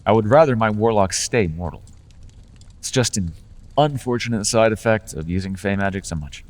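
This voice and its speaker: A man's voice: lowish voice, expressive, normal speed, big-room sound, close to an everyday voice. A man voicing a playful male fae patron in an ASMR fantasy roleplay, talking in a charming, teasing, conversational way with small restarts.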